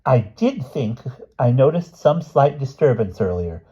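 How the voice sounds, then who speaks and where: A man voicing a small, mild, absent-minded gentleman in a storybook, with polite, measured, old-fashioned phrasing. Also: medium pitch, sing-song, slightly rough, lo-fi sound, pitched above the performer's own voice.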